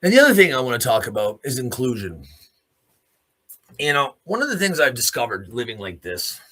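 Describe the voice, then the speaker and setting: Blunt, bright-voiced man ranting. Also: medium pitch, big pitch swings, fairly quick. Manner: blunt, swearing freely. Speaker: host of a solo news commentary show. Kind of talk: unscripted opinionated monologue.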